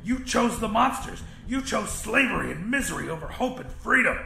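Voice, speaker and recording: A man's voice: high voice, very animated, moderate pace, vocal fry, sharp tone, roomy sound, slight background noise, pitched above the performer's own voice, full character voice, poor audio. A man voicing a crazed, vengeful young rebel with a sneering, ranting delivery in a horror audiobook. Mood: bitter fury. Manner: taunting, ranting. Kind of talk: dramatic horror audiobook dialogue.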